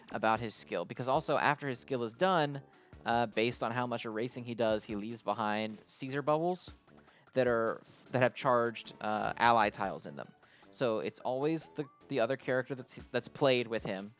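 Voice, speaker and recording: A man giving casual, unscripted game commentary as a video host, explaining things in a hesitant, rambling way. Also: medium pitch.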